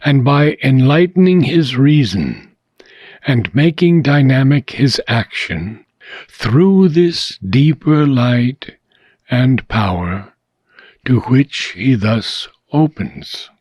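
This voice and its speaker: A man reading philosophical prose aloud in a measured, deliberate way with frequent pauses. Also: mid-range voice, very animated, darkish tone, no room sound, highs cut off. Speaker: reader of a philosophical book.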